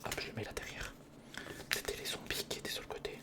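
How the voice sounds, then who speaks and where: An ASMR creator whispering informal, step-by-step commentary to the viewer while building something by hand, close to the microphone. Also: fast. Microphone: close recorder or studio microphone.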